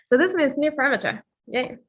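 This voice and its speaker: A woman who is a native Finnish speaker gives an informal live-coding lecture, thinking aloud with playful asides and pauses mid-thought. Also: sits high, animated, a bit bright, slight background noise. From Finland.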